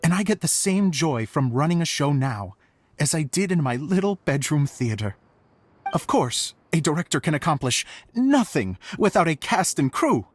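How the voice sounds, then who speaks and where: A man's voice: on the high side, very animated, normal speed. A man playing a sentimental, theatrical show director in a game cutscene, speaking earnestly and expressively. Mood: nostalgic, grateful. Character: theatrical, sentimental grown male show director, grateful dreamer. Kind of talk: animated game cutscene acting.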